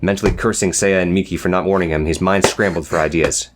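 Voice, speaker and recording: A man's voice: sits low, steady tone, quick pace, background noise. A man narrating a scripted, dramatic story as an audiobook reader.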